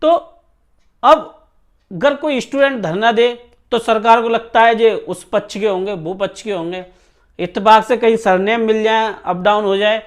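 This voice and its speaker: High-pitched man, emphatic teacher. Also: normal speed, clear, resonant tone. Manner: emphatic, repeatedly checks listeners understand. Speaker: exam coaching teacher. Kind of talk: informal exam news talk to students.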